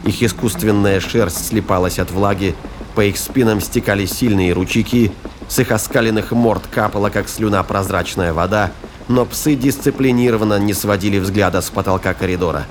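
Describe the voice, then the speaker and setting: A man's voice: fairly low pitch, moderate pace, a little hiss or hum. A man giving dramatic scripted narration as the narrator of a science-fiction audio drama.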